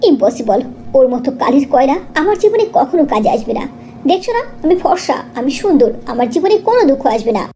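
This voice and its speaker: Vain, spiteful cartoon schoolgirl, played by a woman: high, animated, haughty scolding, faint noise behind. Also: fairly quick. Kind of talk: cartoon story dialogue.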